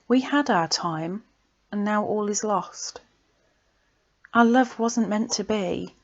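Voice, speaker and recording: A woman reciting her own poems in an expressive, dramatic way, with breathy pauses that dip toward a whisper. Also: mid-range voice. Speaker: poet reading own poems. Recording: dull lo-fi home recording.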